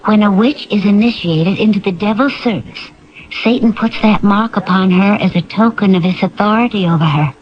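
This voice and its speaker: A woman's voice: mid-range voice, moderate pace, close to an everyday voice. An actress playing a grave, foreboding woman in a radio drama. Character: grave woman explaining occult lore with foreboding. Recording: dull lo-fi vintage radio recording.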